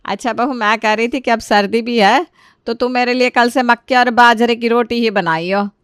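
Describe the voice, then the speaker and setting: A woman's voice: fairly high pitch, fairly even intonation, moderate pace, clear, resonant tone, dry recording, clean studio sound, close to an everyday voice. A woman voicing a demanding older mother-in-law who gives commands as household matriarch in a family story. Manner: commanding, instructing. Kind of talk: acted Hindi family story dialogue.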